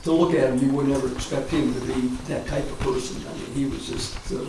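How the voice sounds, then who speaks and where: An American man in his seventies, a war veteran reminiscing informally in a halting, rambling way with frequent pauses. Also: mid-range voice, steady tone. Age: 70s. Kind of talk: informal reminiscence at a public talk. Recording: echoey, distant mic, clear background noise.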